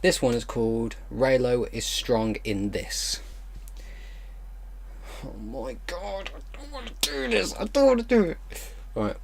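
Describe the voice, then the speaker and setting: A man hosting an online video, reading fan fiction aloud haltingly with exasperated, disbelieving commentary. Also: medium pitch, expressive, gravelly.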